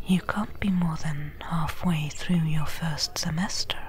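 Casting: actress as seasoned female caravan trader for ASMR roleplay, breathy whisper, low register, very unhurried, friendly and reassuring. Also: steady tone. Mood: calm, friendly. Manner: slow, gentle, unhurried. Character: friendly seasoned woman desert trader, reassuring travel companion.

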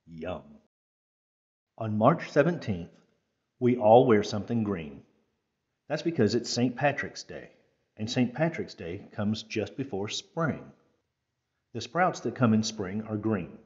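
Male storytime reader, lowish voice, careful phrasing, slightly dull recording. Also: sing-song, slow pace, pauses often, a little mellow. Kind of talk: children's picture book read-aloud.

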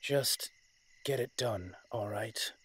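A man acts out a male dragon-shifter crime boss in an audio roleplay. His medium-pitched voice is authoritative and measured. He sounds weary yet firmly in command, delivering a dramatic monologue. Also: fairly bright tone, slightly rough. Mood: urgent, firm.

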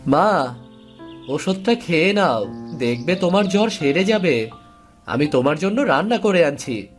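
A woman's voice: lowish voice, expressive, unhurried, faint music behind. A woman voicing a dutiful, kind-hearted young village boy in a cartoon, earnest and caring.

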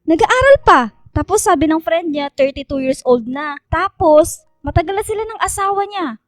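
An actress playing a chatty, dramatic young woman who tells stories to online friends in expressive, casual Taglish radio drama dialogue. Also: very high-pitched, moderate pace, no echo, clean studio sound, soft background music. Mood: exasperated, amused. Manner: expressive, gossipy storytelling.

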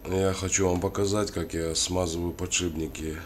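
Low male voice, slight cold, casual workshop demonstration, halting delivery, clearly noisy recording. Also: flat delivery. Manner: halting, thinking aloud while working. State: has a cold. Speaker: DIY tutorial host. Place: indoors at a wooden worktable.

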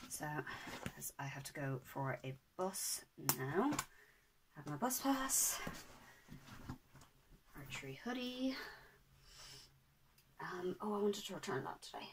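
A hoarse young woman, chatting casually to camera in her bedroom with lots of ums and self-deprecating asides. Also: lowish voice, sing-song, takes it slowly, pauses often, background noise. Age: 20s. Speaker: vlogger. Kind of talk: casual vlog chat. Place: small bedroom indoors. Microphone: laptop or phone camera at desk.

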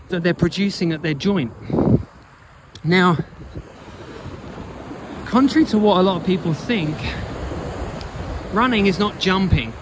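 A man with a fairly high voice talks as a coach in an unscripted walking vlog. He is instructive and emphatic, often repeating a phrase as he works through an explanation. Clear ambient noise from an open beach sits behind his voice. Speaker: running coach and blogger. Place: outdoors on an open sandy beach. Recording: noisy outdoor beach ambience.

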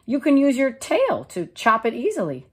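Woman putting on a lively, higher-voiced mother hen. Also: moderate pace, breathy edge, exaggerated voice. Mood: hopeful, brisk. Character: busy, firm mother hen, hopeful then indignant.

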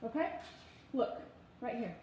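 A woman's voice: a little high, animated, measured pace, muffled recording. A woman in her thirties talking casually through instructions in a patient but firm, step-by-step way. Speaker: parent giving a cooking demonstration. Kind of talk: casual instructional talk to camera and child. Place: home kitchen, indoors. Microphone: phone propped on counter, about arm's length. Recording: very echoey room, faint noise.

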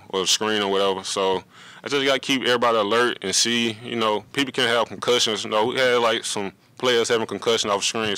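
A young man, a college basketball player, answering reporters in a halting way, often searching for words, at a table mic. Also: lowish voice, sharp tone, clean tone, no room sound, low-quality recording. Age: young adult. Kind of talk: post-game press conference. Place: press room at a table before a logo backdrop.

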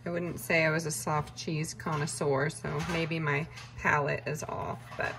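A woman chatting casually as she walks through her meal prep in a home kitchen, relaxed and full of fillers. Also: low-pitched, a little slow, over music, poor audio. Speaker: vlogger cooking meal prep. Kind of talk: casual cooking narration.

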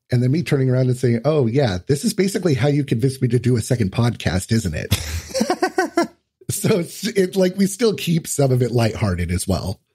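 This is a man with a relaxed, mellow voice that has a husky edge. He chats casually as a podcast guest, explaining things in plain, slightly salty language. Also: medium pitch. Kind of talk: casual conversational podcast chat.

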